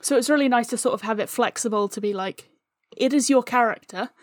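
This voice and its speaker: A woman's voice: on the high side, a little fast, dry recording, studio quality. A woman guest chatting casually, wry and anecdotal, acting out remarks as she tells stories. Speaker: interview guest, audio drama creator. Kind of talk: casual chat.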